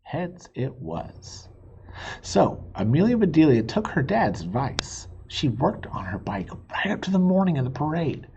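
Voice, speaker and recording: A man reading a children's storybook aloud in a playful, expressive way, stretching words for emphasis. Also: on the high side, unhurried, husky, lo-fi sound. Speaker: reader of a children's book. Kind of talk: animated storybook read-aloud with asides.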